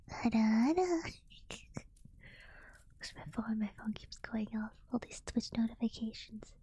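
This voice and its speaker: A woman streamer whispering in a very breathy, airy voice, tired and yawning as she talks. She speaks slowly and softly, chatting with viewers, her pitch sitting a little high. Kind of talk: whispered ASMR live stream chat.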